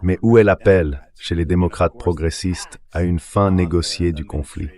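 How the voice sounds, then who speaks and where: A man's synthetic translation voice delivering measured, explanatory political commentary as an interview guest. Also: low-pitched, darkish tone.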